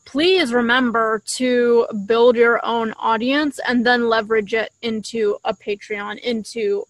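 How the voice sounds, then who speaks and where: A young woman with a fairly high voice talks as a podcast co-host. She is casual and earnest, urging listeners along. The sound is fairly dry, picked up by a shared microphone outdoors. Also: fairly bright tone, no echo, poor audio. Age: young adult. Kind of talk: casual chat giving advice to creators. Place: outdoors in a leafy garden. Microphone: shared desktop condenser microphone at table distance.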